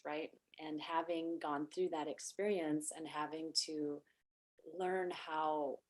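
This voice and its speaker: A middle-aged woman hosting a podcast, talking in a soft voice that does not carry far, reflective and thoughtful in long winding sentences. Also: sits low. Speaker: podcast host and wellness coach. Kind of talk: casual podcast conversation.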